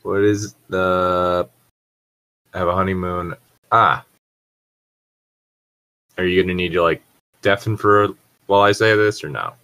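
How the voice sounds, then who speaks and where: A man's voice: deep voice, steady tone, a little slow, pauses often, sharp tone, smooth. A man reading fan fiction aloud in a casual group session, swearing and dropping joking, sardonic asides. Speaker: co-host reader. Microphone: own mic on an online voice chat.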